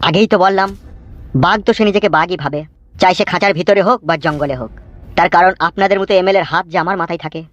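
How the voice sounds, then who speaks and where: Fast-talking swaggering cartoon gangster, acted by a man. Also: high voice, a bit bright, lo-fi sound. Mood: menacing swagger. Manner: crude, cocky, bragging. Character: swaggering boastful male gangster and mafia fixer. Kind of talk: comedy cartoon dubbing.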